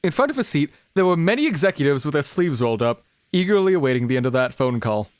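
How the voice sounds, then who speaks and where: A man's voice: a little high, sing-song, quick pace, smooth, dry recording. Man reading story narration. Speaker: streamer narrating a visual novel. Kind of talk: scripted narration.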